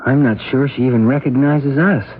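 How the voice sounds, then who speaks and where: An actor in an old-time radio drama playing an earnest, worried brother who talks hesitantly. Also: male voice, mid-range voice, fairly even intonation, brisk, slight background noise, natural voice. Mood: troubled. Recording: thin muffled vintage radio recording.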